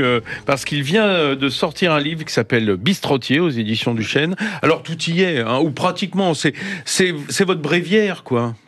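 A man hosting a food talk show on radio in a studio, chatty and lively, with lots of fillers. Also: on the high side. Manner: lively, chatty, many fillers, laughs often. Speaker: radio host. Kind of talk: casual radio chat. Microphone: studio mic.

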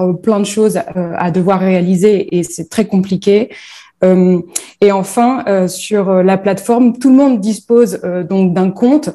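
A woman's voice: mid-range voice, mellow. Hesitant woman from northern France. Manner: hesitant, many fillers. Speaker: founder of a bereavement platform. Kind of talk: interview answers.

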